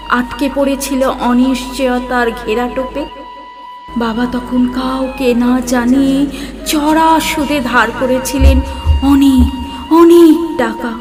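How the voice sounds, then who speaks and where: High-voiced woman reciting poetry over music. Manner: expressive, measured, with dramatic pauses. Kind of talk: dramatic Bengali-style poetry recitation. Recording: music bed under the voice.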